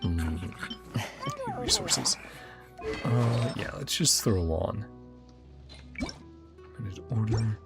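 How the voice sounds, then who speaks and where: A man streams a game in a slow, creaky voice that moves freely up and down in pitch. He comments casually and mutters to himself as he thinks, with music clearly playing behind him. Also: lively intonation.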